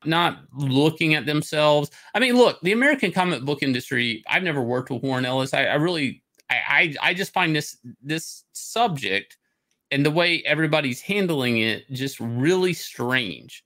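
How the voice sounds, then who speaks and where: A man hosting a livestream, giving a casual, opinionated rant in an emphatic, halting way with some swearing. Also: a little high, lively intonation, bright, forceful tone. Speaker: stream host. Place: indoor room, livestream on webcam.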